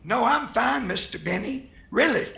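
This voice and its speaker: A man's voice: very high-pitched, lively intonation, normal speed, creaky, pushed and bright, roomy sound, full character voice. An actor playing a meek, naive old male guard in an old-time radio comedy, earnest and out of touch. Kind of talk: 1940s radio comedy acting.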